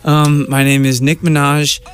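A man in an improv comedy bit, talking hesitantly with pauses and laughs. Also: a little high, flat delivery, soft background music.

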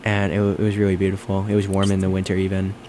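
Low-voiced young man chatting over background noise. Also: completely flat delivery, racing, dark tone. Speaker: conversation leader asking questions. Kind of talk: casual chat. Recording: noisy room with air-conditioning hum.

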